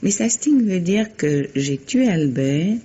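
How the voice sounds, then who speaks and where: Husky, composed middle-aged woman. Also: lowish voice, expressive, slow, mellow, full character voice. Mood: cool, wry. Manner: poised, dryly pointed. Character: composed, dignified middle-aged woman novelist under suspicion. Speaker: actor. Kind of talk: 1950s French radio mystery acting. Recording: dull vintage radio recording.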